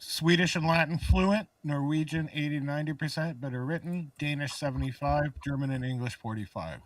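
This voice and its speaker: A man's voice: a little high, brisk, dry recording, recorded from a distance, low-quality recording. A man chatting casually as a player in a tabletop roleplaying game.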